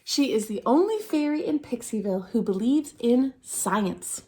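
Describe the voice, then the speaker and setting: High, animated woman reading a storybook. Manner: theatrical, drawn-out emphasis on key words. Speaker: storytime reader at a dance centre. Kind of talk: expressive children's picture book read-aloud.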